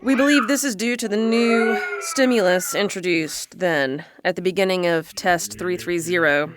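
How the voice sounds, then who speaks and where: Woman acting as alien scientist, formal, deliberate, clinical log narration, audio drama, faint background noise. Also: fairly low pitch, natural voice. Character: alien research lead, formal, measured, dictating test log on human subjects.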